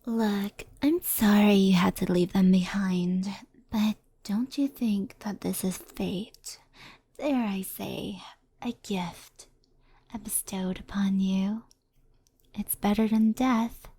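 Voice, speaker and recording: A woman playing a gentle, mischievous fox spirit in an ASMR roleplay, speaking softly and intimately, often in a whisper, like a reassuring mentor. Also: medium pitch, steady tone, slow pace, slightly rough, no echo.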